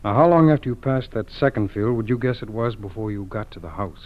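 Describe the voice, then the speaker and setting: An actor playing a calm, businesslike FBI agent with a measured, methodical manner in a 1940s radio drama. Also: male voice, on the low side, lightly acted. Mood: probing. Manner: measured, methodical questioning, reassuring. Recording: tinny, band-limited old radio recording.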